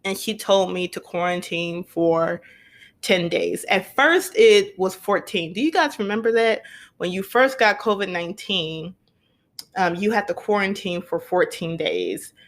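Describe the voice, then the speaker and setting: A woman's voice: lowish voice, expressive, a little slow. A young woman hosting a podcast, telling a personal story in an earnest, conversational way and repeating points for emphasis. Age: young adult. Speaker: podcast host.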